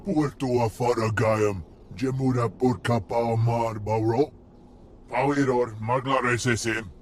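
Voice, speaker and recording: A man's voice: medium pitch, a little hiss or hum, natural voice. A man voicing an alien pilgrim in a video game, declaiming in an invented tongue.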